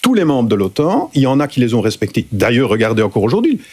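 A man with a husky, slightly grainy voice argues his case on a debate panel. His pitch swings widely as he presses each point, jumping up sharply for emphasis. He speaks emphatically and argumentatively. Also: huge pitch swings, fast, a little mellow. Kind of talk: televised debate.

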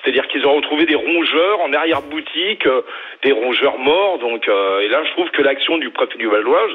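A man in his fifties from Brittany calling in to a radio show, talking haltingly and restarting his words. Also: mid-range voice, animated, slightly rough. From Brittany, western France. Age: 50s. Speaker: radio caller. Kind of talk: phone-in call. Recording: tinny phone line.

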